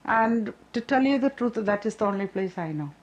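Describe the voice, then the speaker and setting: A woman's voice: medium pitch, animated, normal speed. Older Indian-accented woman, plain-spoken. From south India. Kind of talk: personal testimony in a documentary.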